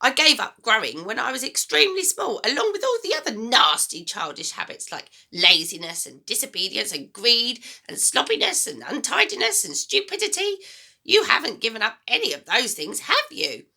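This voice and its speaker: A woman's voice: on the high side, very animated, moderate pace, creaky, pushed and bright, pitched above the performer's own voice, heavily acted. A woman voicing a grumpy, selfish old grandmother in a children's storybook, scolding and snapping. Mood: snappy, contemptuous.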